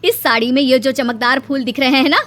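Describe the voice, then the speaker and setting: A woman's voice: high-pitched, brisk, fairly bright tone. A woman voicing a blunt, easily irritated middle-aged mother-in-law who scolds indignantly in a cartoon story. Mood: proud, defensive. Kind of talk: cartoon moral-story voice acting.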